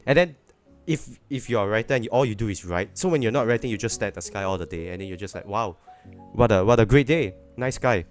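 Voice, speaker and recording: A man's voice: on the low side, lively intonation, fast talker, faint music behind. A Singaporean man with a Singaporean English accent, chatting casually as a podcast guest, rambling and hedging with "you know". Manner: rambling, repeats words, hedges with "you know".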